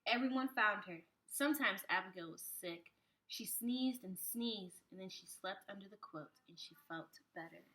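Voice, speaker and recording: A woman reading a picture book aloud to young children like a preschool teacher, with expressive, animated phrasing. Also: medium pitch, normal speed, low-quality recording.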